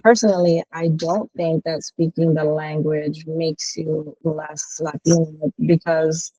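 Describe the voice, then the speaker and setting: Woman, American accent, lowish pitch, dark soft-edged tone, muffled podcast sound, casual reflective talk. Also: flat delivery, takes it slowly, husky, no room sound. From New York, United States. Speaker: podcast co-host.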